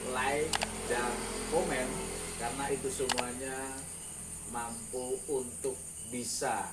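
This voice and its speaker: A male host with a Banyumasan Javanese accent, chatting casually with a guest and often adding "ya". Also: mid-range voice. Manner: chatty, leans on filler "ya". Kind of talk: casual interview chat. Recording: roomy, distant, noisy with crickets.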